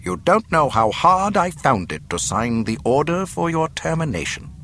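A man's voice: fairly high pitch, sing-song, normal speed, a bit bright, pitched above the performer's own voice. A man acts out a cruel, self-satisfied imperial governor from a space-opera storybook. His courtesy is mocking and precise, and his lines rise and fall in wide, theatrical arcs. Some noise sits behind the voice. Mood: smug, mocking. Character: cold, smug, cruel male imperial governor.

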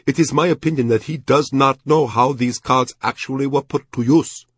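A man's voice: mid-range voice, normal speed, husky, dry recording, studio quality, pitched above the performer's own voice, close to an everyday voice. A man voicing a formal, curious Japanese retired army officer in an audiobook, stiffly polite and precise. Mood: measured, formal.

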